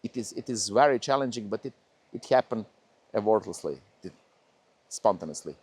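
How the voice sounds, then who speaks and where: A man from Serbia speaking English with a Serbian accent, a painter being interviewed, talking haltingly with many pauses and fillers. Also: lowish voice, expressive, a little mellow, slightly rough, dry recording. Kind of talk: interview conversation.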